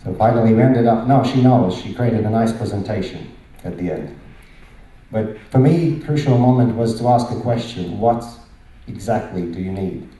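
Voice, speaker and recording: A man's voice: lowish voice, moderate pace, dark tone. A middle-aged man from Latvia with a Latvian accent, giving a conference presentation in emphatic short phrases with rhetorical questions. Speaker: conference speaker. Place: large conference hall, indoors. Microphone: handheld microphone. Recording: very echoey hall, distant sound.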